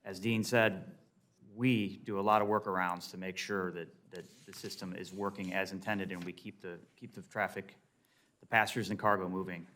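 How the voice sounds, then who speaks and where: A man's voice: deep voice, steady tone, normal speed, a bit bright. An American man, an airline pilot, giving unscripted, emphatic and explanatory testimony at a hearing.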